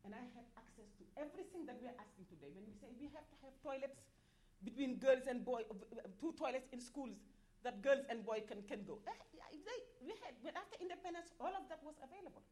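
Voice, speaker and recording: Passionate Senegalese woman, halting delivery. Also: high-pitched, expressive, a little mellow, a little hiss or hum. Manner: halting, many restarts and repeated words, rising in force on key points. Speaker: activist speaker at a development forum. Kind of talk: impassioned panel speech.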